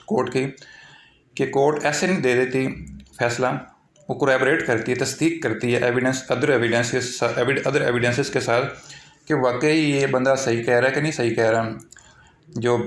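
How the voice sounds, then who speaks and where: A man with a Pakistani accent giving an informal explanatory law lecture, repeating himself and mixing English legal terms into his explanations. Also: mid-range voice. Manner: repetitive, filler-heavy explaining with English legal terms mixed in. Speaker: law teacher. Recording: echoey, roomy sound.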